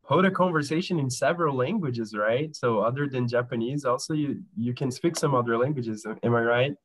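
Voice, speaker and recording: A man's voice: medium pitch, a little fast, poor audio. A man hosting an interview, encouraging his guest, with many fillers and repeated words. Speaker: podcast host, interviewer. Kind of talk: friendly interview.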